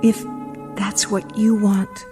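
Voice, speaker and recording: A woman's voice: medium pitch, flat delivery, slow pace, close to an everyday voice. An actress playing an earnest, devoted woman in love, hesitant and tender, in vintage television drama style. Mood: sorrowful. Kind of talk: mid-century television drama acting. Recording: vintage soundtrack with music behind. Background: dramatic music.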